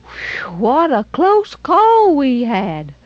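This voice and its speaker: A woman voicing a frightened woman who feigns shocked surprise, with breathless, high exclamations. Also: wildly swooping pitch, drawn-out words, no room sound, full character voice. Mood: earnest pleading. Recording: dull lo-fi recording.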